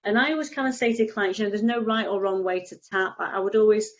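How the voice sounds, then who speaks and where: A woman's voice: mid-range voice, fast talker, lo-fi sound. A woman with a cold and a stuffy nose, explaining tapping casually on a video call, rambling with frequent restarts. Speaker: EFT tapping practitioner, guest.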